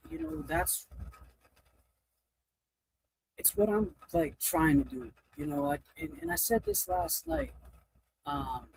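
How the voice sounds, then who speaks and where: High-pitched, creaky man rambling on a livestream. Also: slight background noise, low-quality recording. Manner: rambling, halting, often breaking off mid-thought. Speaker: livestream host. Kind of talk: casual livestream chat.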